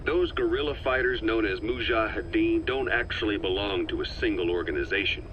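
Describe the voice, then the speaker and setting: An actor playing a grim, seasoned soldier giving a grave, deliberate war briefing in a video game. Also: male voice, lowish voice, lo-fi sound, noisy background, lightly acted. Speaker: military briefing narrator in a game. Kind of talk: scripted briefing monologue in a video game cassette tape.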